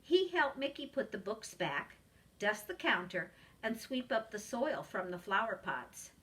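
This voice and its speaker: A woman narrates a children's storybook with expressive, teacherly delivery. Her voice is bright and very lively in pitch, heard slightly away from the mic. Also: measured pace, recorded from a distance. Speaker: preschool teacher reading aloud.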